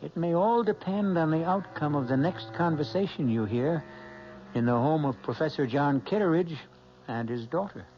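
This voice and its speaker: A man narrating a radio mystery as its host, deliberate and portentous in delivery. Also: a little high, lively intonation. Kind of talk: dramatic scripted narration. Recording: noisy, dull old radio broadcast.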